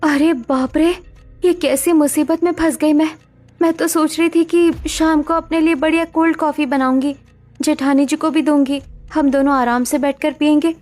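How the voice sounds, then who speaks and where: A woman's voice: high voice, steady tone, moderate pace, darkish tone, soft background music, pitched above the performer's own voice, natural voice. A woman voicing a pampered young daughter-in-law who complains and pleads in a raised, whiny voice. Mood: dismayed.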